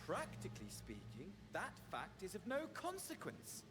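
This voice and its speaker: An actor playing a theatrical, mocking villain in a video game cutscene, flamboyant and sneering, sometimes dropping to a whisper. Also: male voice, high voice, huge pitch swings, normal speed, music in the background.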